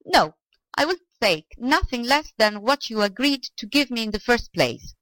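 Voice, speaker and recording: A woman's voice: a little high, very animated, moderate pace, a bit bright, no room sound, voice pushed up, rough recording. A woman voicing a cheerful, stubborn male servant in a storybook, speaking plainly and firmly. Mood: defiant. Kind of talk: storybook character voice.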